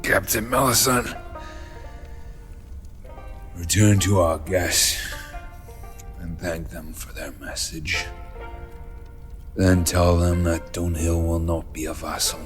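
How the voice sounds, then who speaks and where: A man's voice: low voice, fairly even intonation, slow, pauses often, soft background music. An actor playing an embittered, hot-tempered human king in a fantasy audio drama, brooding and commanding. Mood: bitter, brooding. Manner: commanding, brooding, erupting into shouts. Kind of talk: full-cast fantasy audio drama acting.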